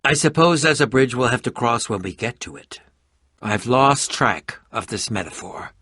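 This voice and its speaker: An actor voicing an eccentric, prickly and curious middle-aged adventurer in a video game, with a pompous, theatrical manner and formal wording. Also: male voice, mid-range voice, expressive, moderate pace, sharp tone, husky, dry recording, clean studio sound. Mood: pompous, musing.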